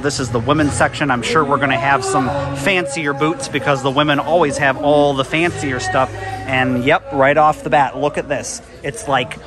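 A man vlogging a walkthrough of a large store on a handheld camera, chatty and easily impressed, thinking aloud. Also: mid-range voice. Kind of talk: casual walkthrough narration. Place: large retail store indoors. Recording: store music playing behind. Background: store music.

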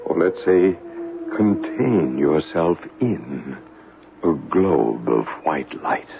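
A man playing a calm, authoritative psychic ghost hunter in a radio drama, probing and soothing in a measured way. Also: a bass voice, creaky, exaggerated voice. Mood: soothing, commanding. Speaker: radio actor. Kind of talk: 1970s radio drama acting. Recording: muffled, noisy 1970s radio broadcast.

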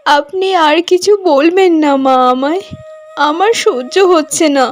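A woman's voice: sits high, measured pace. A woman playing a patient young village bride in a cartoon, pleading and deferential. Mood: hurt. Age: young adult. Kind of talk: cartoon voice acting. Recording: music behind the voice.

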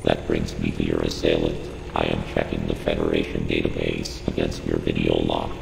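A man voicing a calm ship computer in a video game, advising formally in a measured, analytical way with a gravelly voice. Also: very deep voice, background noise, exaggerated voice. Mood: calm, detached, advisory. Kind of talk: scripted video game briefing. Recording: echoey long reverb under music.

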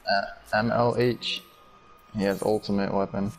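A man's voice: fairly low pitch, flat delivery, moderate pace, soft background music, poor audio. A young man chatting with a friend over a game, making dry, teasing remarks. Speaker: gaming friend. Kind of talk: casual gaming chat.

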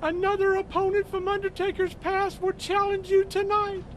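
A man's voice: very high-pitched, monotone, moderate pace, fairly bright tone, a little hiss or hum. A man playing an eerie, fat male manager in a video game, talking in a theatrical, condescending, menacing way. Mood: ominous. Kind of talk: video game cutscene acting.

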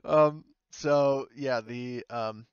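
A man's voice: medium pitch, drawn-out words, smooth, dry recording, muffled recording. A man running a tabletop game as game master, casually thinking aloud and sometimes swearing.

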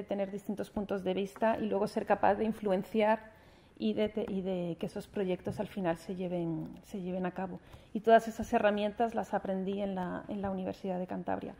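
A woman's voice: mid-range voice, flat delivery, moderate pace, gravelly, dark tone. A woman in her forties from Spain giving an earnest speech at a university ceremony, seated at a panel table in a large formal hall and speaking into a table microphone, with frequent restarts and fillers. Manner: gestures with her hands as she speaks. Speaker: honored alumna speaker.

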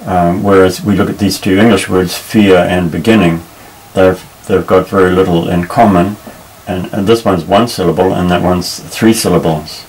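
A man teaching a Bible Hebrew lesson at a table, explaining patiently with many pauses and restarts. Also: low voice, fairly even intonation, a little mellow, a little hiss or hum, rough recording. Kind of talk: unscripted tabletop lesson, explaining while pointing. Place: indoors at a table.

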